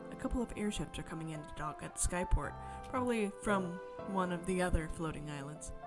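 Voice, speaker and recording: A woman game streamer expressively reading a visual novel aloud, breaking into excited, chatty asides. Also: lowish voice, a little fast. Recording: music behind the voice. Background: game music.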